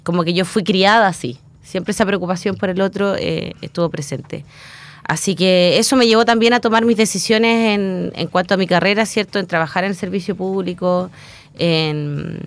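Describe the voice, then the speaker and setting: A woman in her thirties with a Chilean accent, a guest talking through her story in a relaxed interview, pausing often with fillers. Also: fairly low pitch. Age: 30s. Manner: reflective, frequent hesitation fillers. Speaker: guest, newly elected council member. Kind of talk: casual interview conversation.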